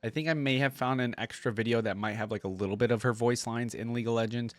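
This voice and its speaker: A man's voice: mid-range voice, fairly even intonation, quick pace, no room sound. A man doing casual, chatty reaction commentary, sometimes reading aloud in an informal, enthusiastic fan style. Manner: chatty, informal, easily impressed fan talk. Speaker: YouTube reaction host.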